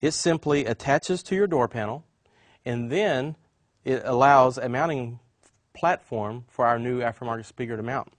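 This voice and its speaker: A man's voice: mid-range voice, moderate pace, dry recording. Man giving a step-by-step product demo. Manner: explanatory, step-by-step. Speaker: product demonstrator. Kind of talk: instructional product demonstration.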